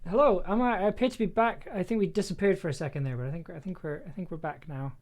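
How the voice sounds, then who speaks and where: A woman's voice: fairly low pitch, quick pace, darkish tone. A woman narrating her sound editing work on a casual livestream, musing to herself in fragments. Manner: thinking aloud, musing in fragments. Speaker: sound designer and streamer.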